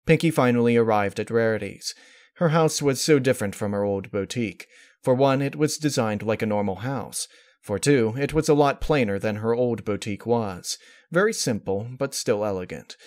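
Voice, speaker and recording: A man's voice: medium pitch, normal speed, a little mellow. A man reading a fan-fiction story aloud as scripted narration.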